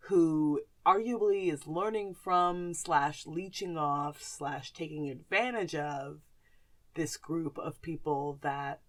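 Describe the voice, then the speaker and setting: A woman podcast host chatting casually and informally about films and actors, with some slang. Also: mid-range voice.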